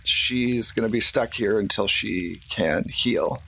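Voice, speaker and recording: A man's voice: lowish voice, slow, vocal fry, sharp tone, breathy edge, low-quality recording. A man acting as game master, casually narrating a tabletop roleplaying game.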